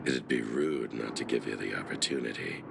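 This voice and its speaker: A man's voice: extremely low pitch, moderate pace, creaky, noisy background, full character voice. An actor playing a smug, scheming male president of a shady aid society in a game cutscene, mocking and sly. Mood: sneering. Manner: mocking, sly, swings between sneering and bluster. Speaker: villain. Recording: game score music behind.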